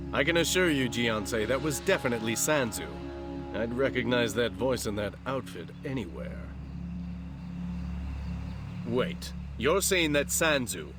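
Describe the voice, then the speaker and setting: A man's voice: mid-range voice, natural voice. A man playing an earnest, exasperated rebel ally in a video game, speaking with an incredulous, urgent manner. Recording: music behind the voice.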